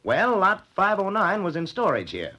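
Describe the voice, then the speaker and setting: An actor playing a helpful, hesitant official in a 1940s radio drama. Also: male voice, high voice, wildly swooping pitch, moderate pace, sharp tone, full character voice. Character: obliging, hesitant male official answering questions.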